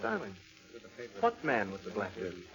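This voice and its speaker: An actor playing a concerned doctor husband in a 1940s radio drama, earnest, patient and firm. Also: male voice, lowish voice, wildly swooping pitch, normal speed, crowd noise. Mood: soothing. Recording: dull, lo-fi old radio recording.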